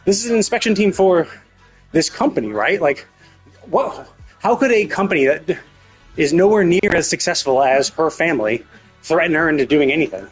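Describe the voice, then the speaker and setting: Husky-voiced man, rambling game streamer. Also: a little high, expressive, moderate pace, soft background music, rough recording. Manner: rambling, thinking aloud. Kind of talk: casual live stream commentary, speculating aloud.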